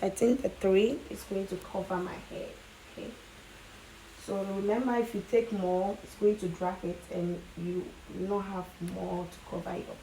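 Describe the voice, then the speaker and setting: A hoarse woman talks viewers through a tutorial step by step. Her voice is mellow and a little worn. She keeps checking in with a quick "okay?". A faint hiss of background noise sits behind her. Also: mid-range voice, rough recording. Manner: chatty, keeps adding "okay?". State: hoarse, lost voice from coughing. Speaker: tutorial host.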